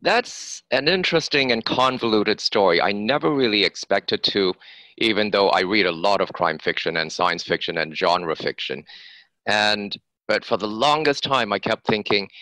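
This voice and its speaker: A man with a very low voice and a bright, clear edge talks as a guest author in an interview. He explains at length, conversationally, in a dry, close-sounding recording. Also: lively intonation, moderate pace, no echo, low-quality recording.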